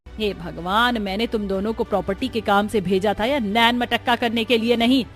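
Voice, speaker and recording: Woman acting a high-voiced, bossy, scolding mother-in-law. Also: normal speed, soft background music, lightly acted. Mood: indignant. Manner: scolding, sarcastic, dramatic. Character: bossy, disapproving middle-aged Indian mother-in-law. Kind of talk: Hindi cartoon family drama voice acting.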